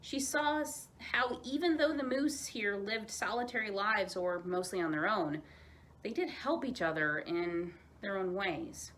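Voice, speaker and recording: A woman narrating a children's story aloud as a storyteller, in an expressive, dramatized way. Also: medium pitch, unhurried.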